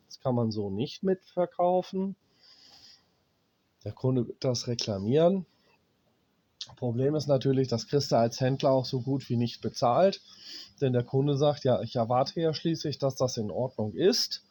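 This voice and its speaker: German repair guy, got a cold, slow and blunt, mid pitch. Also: dark tone. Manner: blunt, plain-spoken, swears at cheap gear; pauses while working, talks to himself as he checks parts. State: has a cold, coughing. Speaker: appliance repairman. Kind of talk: hands-on repair explanation.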